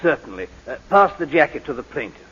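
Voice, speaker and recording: Stern judge, husky, echoing old radio. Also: male voice, fairly high pitch, sing-song, brisk, sharp tone, full character voice. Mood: brisk, commanding. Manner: formal, probing, commanding. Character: stern, sceptical, authoritative English judge presiding in court. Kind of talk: 1940s radio drama acting. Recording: muffled, reverberant old radio broadcast.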